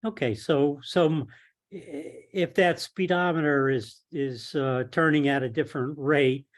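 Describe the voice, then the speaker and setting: A man on a conference call discussing a technical problem casually, with halting, hesitant phrasing. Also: a little high. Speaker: club member asking for technical help. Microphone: telephone or conference call line.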